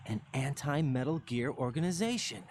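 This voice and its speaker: An actor playing an earnest, questioning young special-ops soldier in a video game cutscene. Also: male voice, mid-range voice, lively intonation, moderate pace, a little mellow, a little hiss or hum, lightly acted. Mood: earnest, troubled.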